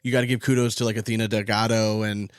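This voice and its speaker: A man's voice: on the low side, little pitch movement, fast talker, smooth, no room sound, clean studio sound. A man hosting a podcast in a casual, conversational sports chat. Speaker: podcast host.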